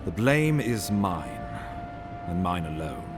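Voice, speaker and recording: Fantasy game ambassador: lowish, lively intonation, courteous, dutiful, world-weary, formal delivery over music. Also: male voice, exaggerated voice. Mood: contrite but firm. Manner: measured, formal, resigned. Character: weary, dutiful male imperial ambassador, self-doubting yet courteous. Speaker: voice actor. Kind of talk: dramatic fantasy game dialogue.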